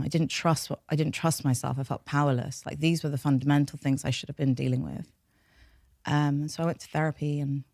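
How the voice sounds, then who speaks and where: A woman's voice: rumbling low, dry recording. Frank London woman, dry close sound. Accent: London English. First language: Farsi. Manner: reflective, frank, steady flow of long sentences. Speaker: podcast guest, behaviour change scientist. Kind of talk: candid interview storytelling.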